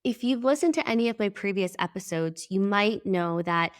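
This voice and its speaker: Podcast host, young woman from El Salvador, Spanish her first language, mid-pitched, chatty, emphatic. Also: animated, normal speed, clear, resonant tone. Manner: chatty and emphatic, with frequent "like" and casual swearing. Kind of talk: casual reflective monologue.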